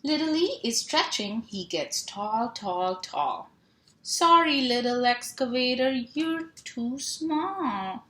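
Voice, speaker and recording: A woman reading a picture book aloud to young children in a sing-song, animated way, stretching sound words and asking simple questions. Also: fairly high pitch, slow. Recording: roomy echo, clear background noise.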